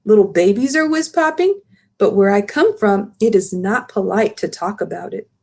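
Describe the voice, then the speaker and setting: A woman's voice: medium pitch, expressive, moderate pace, gravelly, a little mellow. Woman as a polite, inquisitive, lilting young girl. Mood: matter-of-fact. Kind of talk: storybook read-aloud character voice.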